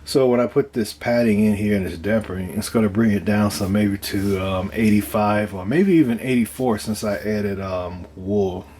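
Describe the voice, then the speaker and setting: Man, slightly deep voice, casual hands-on demo, hesitant thinking aloud, light noise behind. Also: darkish tone. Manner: halting, unscripted, thinking aloud. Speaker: DIY speaker builder presenting own project. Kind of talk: casual hands-on demonstration talk.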